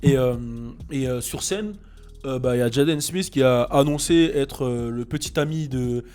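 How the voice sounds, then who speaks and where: A French man with a French accent, hosting a casual chat about rap, relaxed and full of fillers and restarts. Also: mid-range voice, normal speed, smooth, soft background music. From France. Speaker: podcast host.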